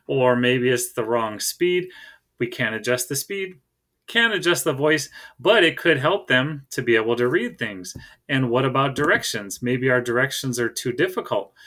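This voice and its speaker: A man's voice: medium pitch, bright, forceful tone. A middle-aged American man giving a casual, conversational tech tutorial as a teacher, recorded on a laptop in a room at home. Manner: conversational, checks in with "right?".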